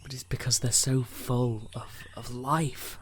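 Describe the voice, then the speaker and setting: A man's voice: mid-range voice, steady tone, takes it slowly, close to an everyday voice. A man playing a supportive, loyal companion in a naturalistic radio play. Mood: gentle reassurance. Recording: outdoor ambience with birdsong, noisy.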